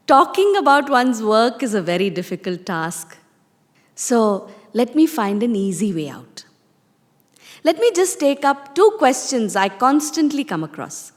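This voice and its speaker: A woman's voice: fairly high pitch, big pitch swings, unhurried. An actress with an Indian accent playing a poised, self-satisfied woman novelist giving a theatrical, confiding address in a reverberant space. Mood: coy, self-assured. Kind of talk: stage monologue reading. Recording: reverberant hall.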